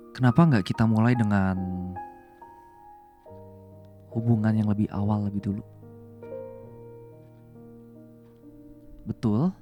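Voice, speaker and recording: A man's voice: lowish voice, lightly acted. A young man playing a flustered, devoted younger boyfriend in a romantic audio roleplay, hesitant and tender, over music. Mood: tender, earnest. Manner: hesitant, stammering, soft and tender. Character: flustered, devoted young man in love with his older female boss. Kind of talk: romantic ASMR roleplay acting. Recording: music behind the voice.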